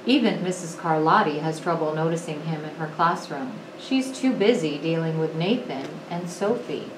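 A woman reading a children's picture book aloud with expressive, deliberate phrasing, as an educator would to pupils. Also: on the low side. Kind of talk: storybook read-aloud. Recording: roomy echo, clearly noisy background.

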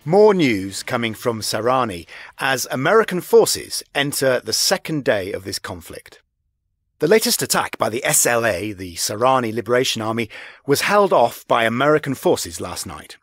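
A formal male news anchor in a video game. His mid-pitched voice is very animated in intonation, delivering a bulletin in a dry studio sound. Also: normal speed, slightly rough, no room sound, exaggerated voice. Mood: formal, serious.

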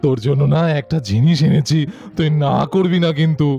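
A man's voice: fairly high pitch, a little fast, dark tone, highs cut off, faint music behind, close to an everyday voice. A man voicing a fond, nostalgic old sweetheart in a sentimental, chatty way for a puppet cartoon. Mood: tender, wistful. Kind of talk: Bengali puppet cartoon voice acting.

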